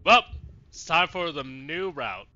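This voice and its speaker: A man's voice: fairly high pitch, animated, measured pace, bright, forceful tone, highs cut off, a little hiss or hum. A man streaming a let's play, commenting casually and bluntly and thinking aloud as he goes. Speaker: gaming streamer.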